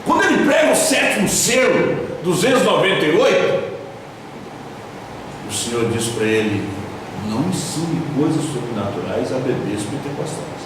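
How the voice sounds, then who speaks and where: A Brazilian pastor with a southern Brazilian accent preaching a sermon in a church hall, with sudden loud emphasis and slowly drawn-out stresses. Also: male voice, on the high side, sing-song, background noise. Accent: southern Brazilian Portuguese. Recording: echoey church hall from far off.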